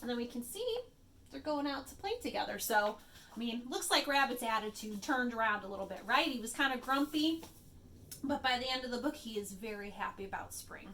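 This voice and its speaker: A woman's voice: fairly high pitch, lively intonation, fairly quick, pushed and bright, slight background noise. A woman children's librarian reading a picture book aloud, with friendly, chatty asides to young listeners. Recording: voice a bit far from the mic.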